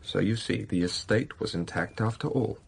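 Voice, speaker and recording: An actor playing a brooding, passionate middle-aged master of a country estate in a period radio drama, gravelly and intense. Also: male voice, on the low side, moderate pace. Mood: bitter, confessional. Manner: intense, confessional, pleading.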